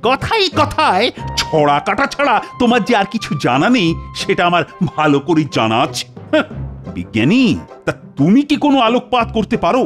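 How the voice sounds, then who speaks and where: A middle-aged cartoon king, vain and anxious. His voice is fairly high and leaps dramatically up and down. He gives orders fussily, with music playing behind him. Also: big pitch swings, a little fast, a bit bright. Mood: impatient. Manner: dramatic, fussy, commanding. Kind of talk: Bengali comedy cartoon voice acting.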